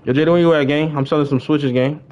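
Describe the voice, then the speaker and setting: A man's voice: on the high side, fairly even intonation, quick pace, a little mellow, dull audio. A man livestreaming a game, chatting casually in slang and often repeating his phrases. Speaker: livestreamer and gamer. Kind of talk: casual gaming livestream banter.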